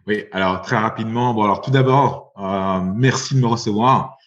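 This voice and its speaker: A man in his forties from Belgium, a podcast guest talking in a halting way, with many fillers and repeated words. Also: lowish voice, echoey room, highs cut off. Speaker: guest, investor. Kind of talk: podcast interview.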